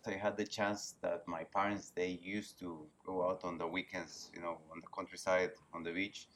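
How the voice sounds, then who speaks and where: A Costa Rican man with a Spanish accent, a biologist guest speaking haltingly as he searches for words. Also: deep voice, flat delivery, fairly bright tone, slight background noise. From Costa Rica. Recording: outdoors with faint ambience.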